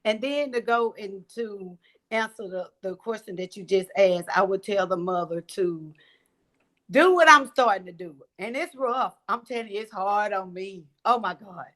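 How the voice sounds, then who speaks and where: A woman and mother, speaking as a guest on a church panel, naturally loud, with eager call-and-response affirmations. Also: mid-range voice, moderate pace, low-quality recording.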